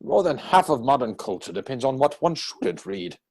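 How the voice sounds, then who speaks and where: A man acting a witty, idle young gentleman from a period comedy. His voice is slightly husky and swings widely in pitch, with arch, playful self-satisfaction in a theatrical reading. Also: very animated, moderate pace, full character voice. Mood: teasing, probing. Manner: mannered, arch, theatrical delivery.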